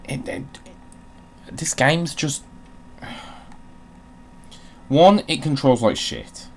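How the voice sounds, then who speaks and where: A man's voice: a little high, expressive, drawn-out words, gravelly, slight background noise. A man giving casual, sweary commentary while playing a video game, in a wry, grumbling manner.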